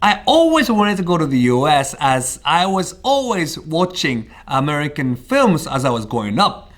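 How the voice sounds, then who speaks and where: A Japanese man in his thirties talks to camera in English with a British-tinged accent, pausing often on "uh." His voice sits fairly high and swings up and down as he talks. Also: sing-song, a bit bright. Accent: Japanese speaker of English with British traces. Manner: chatty, frequent "uh" fillers, playful. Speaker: vlogger. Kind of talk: casual personal storytelling to camera.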